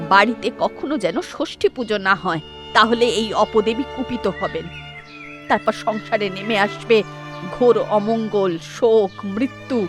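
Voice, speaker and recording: A woman's voice: on the high side, natural voice. An actress voicing an older village mother-in-law who grievingly tells of a cursed idol and warns her daughter-in-law. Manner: storytelling, grieving, warning.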